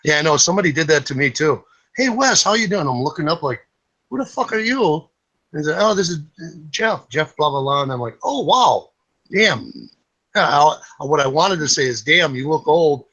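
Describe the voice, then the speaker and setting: A man's voice: fairly high pitch, lively intonation, moderate pace, pushed and bright, highs cut off. Blunt man in his fifties. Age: 50s. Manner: blunt, swears freely, tells stories with put-on voices. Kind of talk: casual late-night group chat. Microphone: online group video call.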